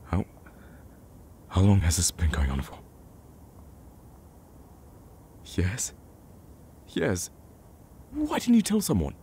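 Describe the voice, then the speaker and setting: A man playing a betrayed, protective young male friend in an emotional audio roleplay, speaking haltingly with sighs and stammers. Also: low voice, huge pitch swings, mellow, a little hiss or hum, heavily acted. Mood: shocked, concerned. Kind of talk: emotional ASMR roleplay acting.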